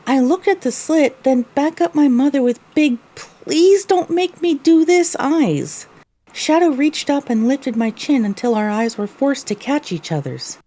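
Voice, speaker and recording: A woman's voice: high voice, expressive, a little slow, mellow. A woman playing a young pony mare who narrates her own story wistfully, as scripted audio drama narration. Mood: descriptive.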